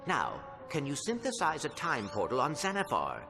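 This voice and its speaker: Polite small robot, game cutscene, precise formal speech, very expressive, reverberant, loud music. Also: male voice, medium pitch, normal speed. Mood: earnest, pleading. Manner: precise, formal diction without contractions. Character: small, polite, earnest male robot sidekick. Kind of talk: video game cutscene acting.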